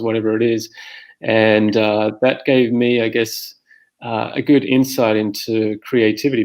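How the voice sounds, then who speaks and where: A middle-aged Australian man with a low, gravelly voice hosts an informal conversation. His intonation stays very flat and he rambles along in an easy, unscripted way, as a podcast host introducing things. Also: low-quality recording. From Armidale, New South Wales, Australia.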